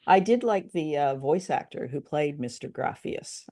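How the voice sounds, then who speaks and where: A woman's voice: deep voice, lively intonation, normal speed, warm tone. A woman co-hosting a chat about old radio drama, talking in a casual, somewhat hesitant conversational way. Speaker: co-host of an old-time radio drama discussion show. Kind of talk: casual chat, review commentary.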